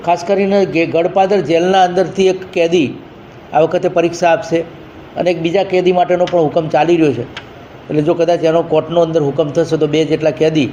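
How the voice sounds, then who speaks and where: A man's voice: on the high side, fairly even intonation, brisk, dark tone, highs cut off. A man speaking as an education official in an informal briefing, emphatic and repetitive in his phrasing. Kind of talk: informal spoken briefing to a news reporter. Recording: faint background noise.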